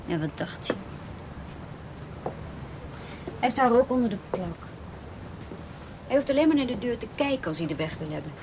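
A woman playing an anxious, guarded teenage girl who speaks haltingly in a radio play. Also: a little high, lively intonation, noisy background. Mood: bitter, resigned. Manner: halting, repeats words. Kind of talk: Dutch radio play acting.

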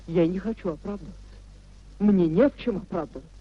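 An actress in a 1950s Russian radio play as a nobleman's dignified, wronged wife, speaking in a measured, theatrical way. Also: on the low side, breathy edge. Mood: reproachful. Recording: dull, muffled 1950s radio recording.

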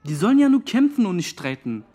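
Slangy, defensive young friend in radio drama, slightly high pitch, rough-edged tone. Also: male voice, sing-song, fast talker, warm tone, faint music behind. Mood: earnest. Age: young adult. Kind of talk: naturalistic radio drama, interview testimony.

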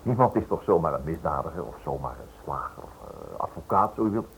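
A man's voice: very deep voice, sing-song, fairly quick, creaky, exaggerated voice. An actor playing a polite, inquisitive male writer who stammers hesitantly over his words in a Dutch radio drama. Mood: earnest. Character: inquisitive, hesitant writer probing a criminal case. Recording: noisy old radio drama recording.

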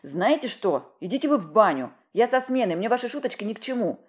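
A woman's voice: mid-range voice, sing-song, brisk, voice pushed up, natural voice. A woman voicing a tired, sarcastic, irritable woman doctor in her mid-thirties for an audiobook story, with a snappy manner. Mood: irritated. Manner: snappy, sardonic.

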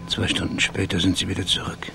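An actor playing a sleuth in a German radio crime drama, with a gravelly voice and a terse, measured, matter-of-fact manner. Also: male voice, low voice, completely flat delivery, music in the background. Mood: calm, grave. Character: low-voiced male sleuth calmly reconstructing a murder.

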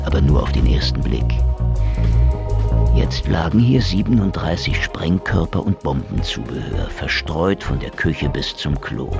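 An actor playing a cynical, world-weary Russian ex-detective in a noir radio drama, with a wry, mocking delivery. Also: male voice, extremely low pitch, gravelly, full character voice. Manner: sardonic, wry, theatrical delivery.

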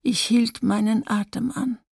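Woman survivor testifying, grave and slow, bit high, really dry studio sound, radio drama. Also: fairly even intonation, a little mellow, breathy edge, dry recording. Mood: grave, restrained. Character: woman survivor of a wartime massacre recounting her escape.

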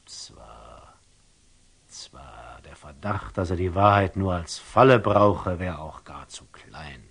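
A wise, husky, slow-speaking old merchant. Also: male voice, deep voice, a bit bright, full character voice. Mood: wary, pondering. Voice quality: husky, gravelly, often dropping to a whisper. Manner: deliberate, ruminative, theatrical storyteller with dramatic pauses. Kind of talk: classical German verse radio drama acting.

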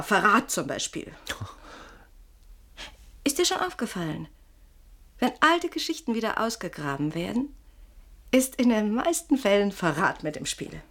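An actress playing a sleepless, needling wife in a German radio play, probing with theatrical, ironic sweetness. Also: female voice, mid-range voice.

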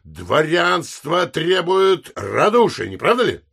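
A meddling rogue of a landowner in an audio drama. His husky voice crackles with gravel and jumps about animatedly as he rambles. He is boisterous, over-familiar and always wheedling. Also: a little high, big pitch swings, slow, a bit bright, exaggerated voice. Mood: gossipy, boisterous. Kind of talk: theatrical audio drama acting.

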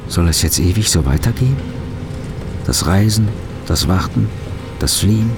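A man's voice: sits low, animated, moderate pace, background noise. An actor voicing the bitter, despairing inner thoughts of a hunted man in a radio play. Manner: brooding, bitter. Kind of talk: radio drama interior monologue.

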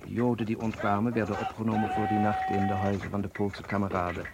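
Earnest, low-voiced refugee socialist played by a man. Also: little pitch movement, fast talker, lightly acted. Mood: bitter, grieving. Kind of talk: Dutch radio play acting. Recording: noisy archived radio play recording.

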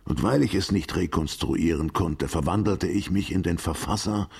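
An actor in a literary radio drama playing a world-weary, fatalistic writer who speaks in a deliberate, formal, philosophical way with a gravelly, husky voice. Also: male voice, low voice, a little mellow, heavily acted. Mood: haunted, intense. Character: world-weary, fatalistic male writer, once left for dead, brooding and enigmatic.

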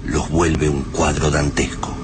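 A man's voice: rumbling low, level tone, measured pace, background noise. A man with an Argentine accent narrating a dystopian radio drama in a grave, literary manner. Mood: somber, literary.

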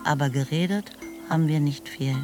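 A woman's voice: deep voice, normal speed, lightly acted. A woman playing a reflective first-person narrator in a German radio drama, recalling school days in a plain, understated way. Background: music.

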